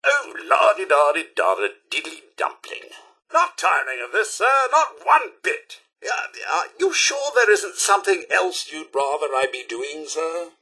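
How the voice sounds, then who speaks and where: A man's voice: fairly high pitch, very animated, unhurried, bright, forceful tone, slightly rough, heavily acted. A man voicing a prim, fussy robot butler for a video game, with a British accent and a pompous, over-polite, theatrical manner. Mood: forced cheer, weary. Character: prim, fussy, loyal robot butler, male, dryly sarcastic. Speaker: companion character in a video game.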